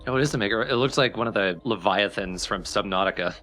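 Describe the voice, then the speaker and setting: A man's voice: fairly low pitch, fast, pushed and bright. A man riffing playfully in casual banter as a co-commentator on a video game. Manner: playful, riffing in mock voices. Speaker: co-commentator on a let's play. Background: video game music.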